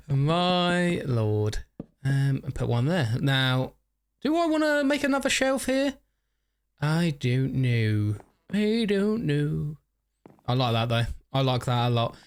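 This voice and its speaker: A man's voice: medium pitch, fairly bright tone. A man rambling through casual game commentary, overtired and a bit stuffy and nasal, often laughing at himself. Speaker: gaming YouTuber. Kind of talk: casual let's-play commentary.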